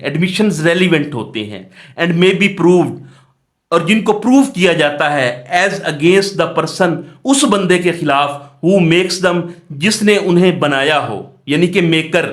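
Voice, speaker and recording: Slow-paced Pakistani man lecturing, higher-pitched voice. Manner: asks rhetorical questions and answers them; reads English statute phrases, then explains them in Hindi-Urdu. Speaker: law lecturer. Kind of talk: explanatory exam-prep lecture.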